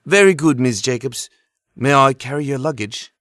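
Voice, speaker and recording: A man's voice: mid-range voice, animated, normal speed, a bit bright, dry recording, clean studio sound, natural voice. A man playing a polite, formal, deferential hotel receptionist in an audio drama. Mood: courteous. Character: courteous, soothing male castle hotel receptionist.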